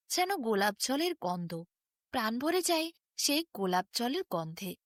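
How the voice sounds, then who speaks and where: A woman narrating a scripted bedtime fairy tale in an expressive, descriptive storytelling manner. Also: mid-range voice, dry recording, clean studio sound.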